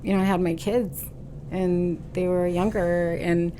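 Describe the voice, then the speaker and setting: A woman guest on a podcast, telling her personal story in casual, halting talk full of fillers. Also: lowish voice, level tone, warm tone, slight background noise, poor audio. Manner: stubborn, determined. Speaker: podcast guest, boutique owner. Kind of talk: casual conversational interview.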